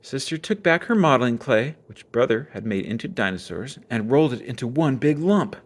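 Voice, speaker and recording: A man reads a children's book aloud. His voice has an average pitch but moves widely up and down. The narration is expressive storytelling. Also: a little fast. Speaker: storybook reader.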